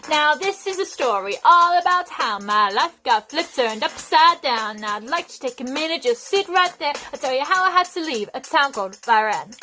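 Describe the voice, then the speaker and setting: A woman's voice: high voice, lively intonation, moderate pace, few pauses, sharp tone, poor audio. An actress playing a brash, sweary palace servant, telling her tale as a rhythmic comic rap in an audio play. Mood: cheeky, boastful. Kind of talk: comic spoken rap parody. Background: faint music.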